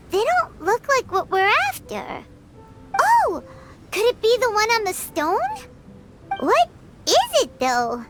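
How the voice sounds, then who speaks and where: A woman voicing a tiny, curious, childlike fairy companion in a video game, with a squeaky voice and a cute, earnest manner. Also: full character voice. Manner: cute, earnest, refers to herself by name. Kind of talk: video game character acting. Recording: loud game music behind the voice.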